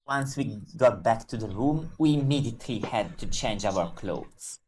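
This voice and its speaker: A woman reading a visual novel's dry, exasperated protagonist in an expressive storytelling manner. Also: extremely low pitch, measured pace, breathy edge, exaggerated voice. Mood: narrating, dry. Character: dry, exasperated narrator Hikaru, reluctant roommate.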